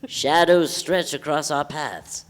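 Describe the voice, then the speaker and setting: An actress playing a pompous, whimsical sleuth with florid speech in a live play reading. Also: female voice, sits low, takes it slowly. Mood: grandly whimsical. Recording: echoey theatre hall, live stage.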